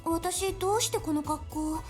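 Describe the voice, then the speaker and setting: Very high-pitched sulky anime girl. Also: fairly quick. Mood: confused, flustered. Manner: pouty, expressive, stammering when flustered. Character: sulky, easily flustered teenage girl in anime game. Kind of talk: Japanese visual novel voice acting. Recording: game music behind the voice.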